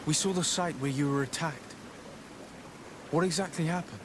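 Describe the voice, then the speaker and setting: A man playing a composed, courteous young diplomat-adventurer in a video game, speaking in measured, earnest, formal phrases. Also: a little high, noisy background, close to an everyday voice. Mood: matter-of-fact. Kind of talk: video game cutscene acting.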